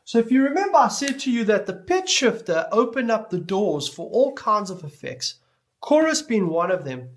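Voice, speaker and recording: A man's voice: sits high, sing-song, normal speed, slight background noise. Man teaching audio effects step by step. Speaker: music production instructor. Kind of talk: explanatory tutorial lecture.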